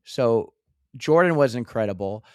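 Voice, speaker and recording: Hoarse man co-hosting a podcast. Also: medium pitch, a little slow, dry recording, studio quality. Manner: excitable, laughing, stumbling restarts. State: hoarse, losing his voice. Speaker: podcast co-host. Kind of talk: casual chat.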